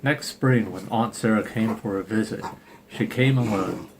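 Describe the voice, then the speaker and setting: A man with a fairly low voice reads a picture book aloud in an informal way. He is irreverent and apt to break off for sarcastic, sweary asides. There is some noise on the home recording. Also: normal speed. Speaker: storybook reader and channel host. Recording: clearly noisy home recording.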